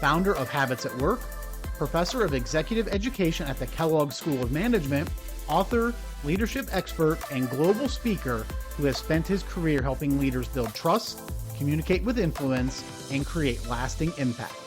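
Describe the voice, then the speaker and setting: Podcast host: man with a fairly high voice, polished and upbeat, faint music underneath. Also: animated, normal speed. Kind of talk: podcast host intro and interview.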